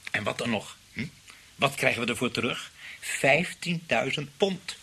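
An actor playing a scheming, money-hungry young nephew in a Dutch radio play, by turns wheedling and snappish. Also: male voice, mid-range voice, expressive, unhurried, vocal fry, bright, forceful tone, heavily acted.

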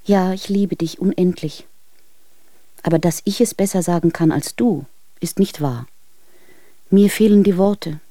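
A woman's voice: lowish voice, measured pace, long pauses, warm tone, no room sound. An actress playing a devoted, yearning woman, reading earnest, intimate love letters in a German radio drama. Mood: enraptured, tender.

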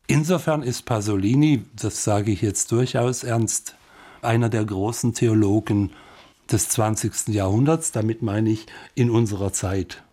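A man giving reflective, unscripted commentary, musing haltingly and repeating phrases for emphasis. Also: on the low side, mellow.

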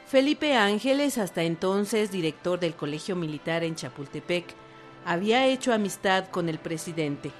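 A woman's voice: lowish voice, animated, normal speed. A woman reading scripted historical narration for a radio drama. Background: faint music.

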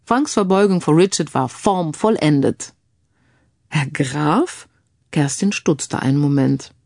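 A woman's voice: deep voice, animated, moderate pace, darkish tone, no echo, studio quality. A woman reading scripted narration for a crime audiobook.

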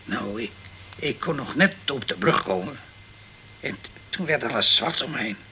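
Casting: troubled, boozy ship's captain for radio crime play; gravelly, stammering, defensive; faint noise behind. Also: male voice, mid-range voice, expressive, pushed and bright. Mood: defensive, halting. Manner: halting, stammering over words. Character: guilt-ridden hard-drinking male ship's captain, defensive and troubled. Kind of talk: Dutch radio crime drama acting.

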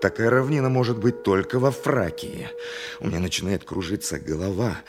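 An actor playing an aggrieved, ruined dentist in an audio drama, fussy, emphatic and self-justifying. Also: male voice, on the low side, animated, moderate pace, music in the background, natural voice. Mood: exasperated, weary. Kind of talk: theatrical audio drama monologue.

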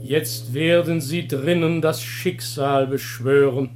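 Greek chorus leader, slow, solemn, chanting, higher male voice, radio drama. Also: fairly even intonation, darkish tone, faint music behind. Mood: solemn, expectant.

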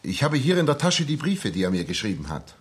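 An actor in a 1960s German radio drama playing an earnest young former soldier, polite but insistent. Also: male voice, medium pitch, huge pitch swings, brisk, slightly rough. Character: earnest, persistent young ex-soldier seeking his friend.

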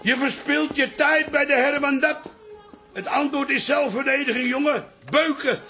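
A combative old city dweller in a radio drama. His voice is very high and piercingly bright, and he blusters and needles like a veteran spoiling for a fight. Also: steady tone, moderate pace, faint music behind, heavily acted. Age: older. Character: feisty old father, combative former resistance man, city-proud. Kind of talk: Dutch radio drama acting.

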